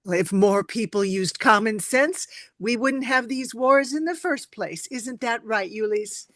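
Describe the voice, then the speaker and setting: A woman's voice: a little high, a little slow, fairly bright tone, no room sound, clean studio sound. A woman playing a genteel, curious and polite lady in a table reading of a historical play. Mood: opinionated.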